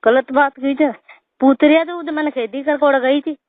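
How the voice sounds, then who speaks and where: A woman's voice: fairly even intonation, normal speed, no echo. A woman arguing insistently in an informal phone conversation, often repeating herself. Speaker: daughter-in-law on a family phone call. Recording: muffled low-fidelity phone call recording.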